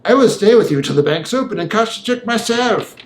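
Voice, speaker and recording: A man reading a storybook, voicing a cool, sneering, menacing gentleman with formal speech. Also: sits high, animated, quick pace, reverberant, voice pushed up. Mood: smug sneering. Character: coolly sneering villainous gentleman, unruffled and menacing. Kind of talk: storybook character voice in audiobook reading.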